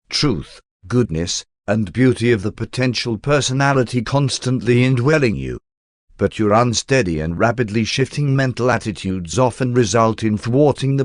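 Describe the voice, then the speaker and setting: Guy reading a spiritual audiobook, formal and solemn, mid pitch, really dry sound. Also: no echo. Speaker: narrator.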